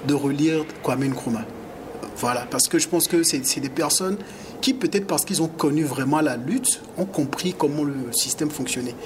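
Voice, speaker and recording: Guest interviewee: man, higher-pitched voice, earnest and animated, lots of restarts, noisy background. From Togo. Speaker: rapper and activist guest. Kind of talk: conversational interview answers. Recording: audible background noise.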